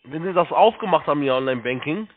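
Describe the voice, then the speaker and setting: A man working as a call-centre agent, giving halting step-by-step instructions, repeating himself and spelling things out. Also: a little high, animated. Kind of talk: step-by-step phone sales instructions. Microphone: telephone. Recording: tinny narrow phone line.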